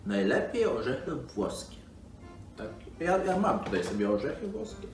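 A middle-aged man playing an excitable, folksy old storyteller for children, exclaiming in amazement, halting and repeating himself. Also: mid-range voice, recorded from a distance, natural voice. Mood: gentle, advising. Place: living room by a fireplace. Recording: roomy, off-mic, music behind.

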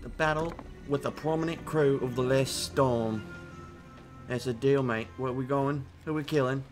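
Excitable man in a put-on British accent. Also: mid-range voice, normal speed, over music. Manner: excitable, chatty, swears while reacting. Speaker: gaming channel host. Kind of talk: casual gameplay commentary.

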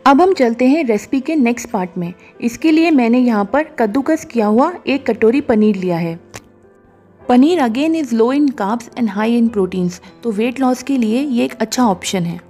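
A woman calmly narrating a cooking recipe step by step as a voice-over, slipping English words into her sentences. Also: a little high, measured pace. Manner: calm, instructive, mixes English words into Hindi. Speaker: cooking show host. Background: soft background music.

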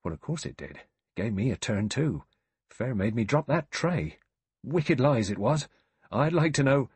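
A flustered storybook butler, performed by a man, pleads his case with servile earnestness. The husky voice swings widely in pitch and sounds defensive. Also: sing-song, unhurried, darkish tone, no room sound, full character voice. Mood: indignant. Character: flustered, earnest, deferential male butler protesting innocence. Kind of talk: audiobook character voice.

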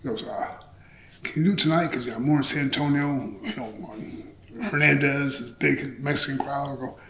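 A man's voice: medium pitch, fairly even intonation, normal speed, gravelly, a crowd in the background. A middle-aged man telling stories casually in an interview, candid and profane, acting out bits of dialogue. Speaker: interview guest, pro wrestler. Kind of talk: animated casual storytelling. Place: small indoor interview set on a couch. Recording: roomy echo.

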